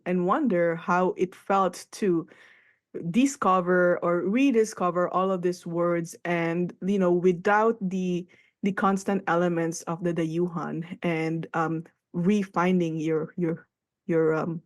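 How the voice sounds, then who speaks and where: A woman's voice: fairly low pitch, steady tone, takes it slowly. A young woman from the Philippines hosting a radio talk show, chatting in a loose, reflective way and laughing often. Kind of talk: casual radio conversation.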